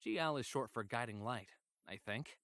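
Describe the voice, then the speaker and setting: Visual novel android companion, male, played by a man: polite, obedient, subdued and weary, formal diary narration with hesitant pauses, medium pitch. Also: a bit bright, dry recording, clean studio sound, natural voice. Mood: detached, unsettled.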